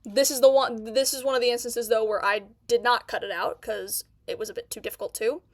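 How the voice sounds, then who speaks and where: Brief: woman streamer with a stutter, fairly high voice, dry close sound, indignant reaction commentary. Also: fairly even intonation, fairly quick, no echo. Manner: indignant, repeats herself.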